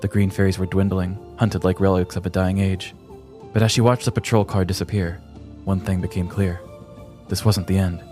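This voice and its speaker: A man's voice: sits low, level tone, normal speed, dark tone, soft background music. A man hosting a podcast, reading scripted comic true-crime narration with a dramatic storybook delivery. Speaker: podcast host.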